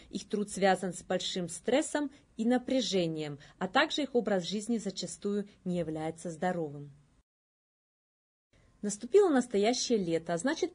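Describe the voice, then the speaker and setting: A woman's voice: medium pitch, darkish tone. A woman in her thirties reading scripted news as a presenter in an indoor studio. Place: indoor studio, plain backdrop.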